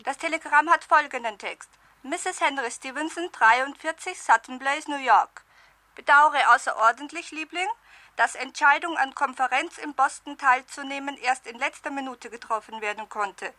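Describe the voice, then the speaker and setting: High, bright telegraph operator. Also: female voice, moderate pace, dry recording. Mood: detached, official. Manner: impersonal, official recitation. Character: businesslike female telegraph operator. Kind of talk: 1970s German radio thriller acting.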